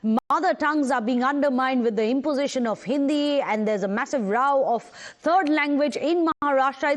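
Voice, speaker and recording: A woman, anchoring live TV news in a brisk, animated way. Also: high-pitched. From India.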